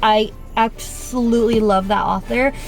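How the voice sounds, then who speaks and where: A young woman with a Spanish accent talks loosely and chattily to her handheld camera. Her voice sits a little high, and her sentences ramble along, full of "like" fillers. She speaks as a native Spanish speaker talking in English. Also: fairly even intonation, normal speed, soft background music. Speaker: vlogger. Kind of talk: casual chat. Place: car and gym.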